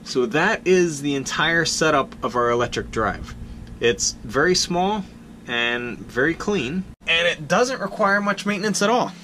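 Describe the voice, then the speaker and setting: A man's voice: mid-range voice, bright, forceful tone, slight background noise. A young man on his boat, casually and practically walking through how his gear works, filmed with a camera at arm's length in a small wooden cabin. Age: young adult. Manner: plain, practical, self-deprecating. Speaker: sailing vlogger.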